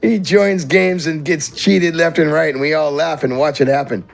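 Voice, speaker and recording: A man puts on a brash tavern keeper for a fantasy roleplaying game, pitched high for a man. He is busy, blunt and impatient, quick to mock. Music plays faintly behind him. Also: steady tone, creaky. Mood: dismissive. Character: gruff, brash German-looking tavern owner, busy and blunt.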